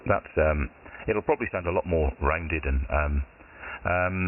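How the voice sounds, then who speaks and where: A British man with a very deep voice, chatting casually over amateur radio, relaxed with many pauses and ums. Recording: tinny shortwave radio signal with hiss.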